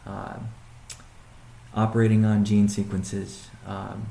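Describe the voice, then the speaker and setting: Flat, halting man in an echoey room. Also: lowish voice, creaky. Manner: halting, deliberate, with frequent pauses and restatements. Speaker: company CEO hosting a weekly conference call. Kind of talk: question-and-answer business briefing. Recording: roomy, echoing sound.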